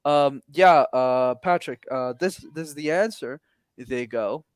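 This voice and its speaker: A man's voice: takes it slowly, clear, resonant tone, no echo, studio quality. A man coaching on public speaking during a webinar, talking energetically and with emphasis over a video call. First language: not English. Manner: energetic, emphatic, lively pitch swings. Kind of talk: webinar advice talk.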